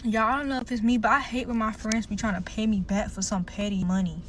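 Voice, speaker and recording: A woman's voice: mid-range voice, fairly even intonation, quick pace, slight background noise. A woman in her twenties from South Florida, an influencer ranting bluntly and profanely to her followers in a casual clip. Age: 20s. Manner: blunt, brash and profane, talking straight to followers. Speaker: social media influencer. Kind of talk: casual social media rant.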